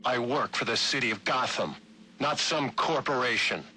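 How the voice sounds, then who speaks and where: An actor playing an upright, no-nonsense police detective in a video game, with a gravelly voice. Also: male voice, mid-range voice, normal speed, sharp tone. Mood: stern, urgent. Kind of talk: video game voice acting, audio log.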